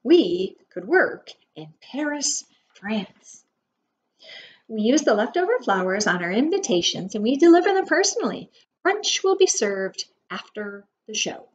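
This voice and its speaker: A woman's voice: fairly high pitch, big pitch swings, a little slow. A middle-aged woman preschool teacher reading a picture book aloud expressively to young children, with dramatic emphasis and simple explanations. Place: indoor room at home. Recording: dull webcam audio with faint noise.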